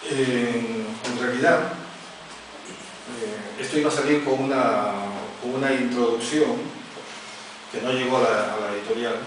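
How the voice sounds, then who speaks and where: Halting middle-aged man, echoey distant hall. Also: medium pitch, fairly even intonation. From Peru, Amazon region. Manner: halting, many restarts and fillers, anecdotal. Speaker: author presenting his book. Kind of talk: informal talk at a book presentation. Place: large echoey arts center hall. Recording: echoey hall from far off with noise.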